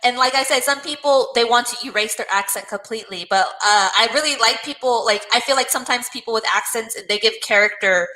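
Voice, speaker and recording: Bright-voiced, encouraging woman tutor. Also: fairly high pitch, brisk, hardly any pauses, rough recording. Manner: encouraging, gentle, many backchannel affirmations. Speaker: English tutor. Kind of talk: casual one-on-one conversation lesson.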